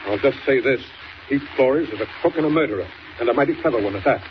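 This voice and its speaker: Stubborn male governor male actor, 1940s radio acting, quick and decisive, slightly hissy vintage sound. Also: medium pitch, lively intonation, highs cut off, close to an everyday voice. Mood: brisk, explaining. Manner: blunt, decisive, authoritative. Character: brisk, stubborn, plain-spoken male state governor.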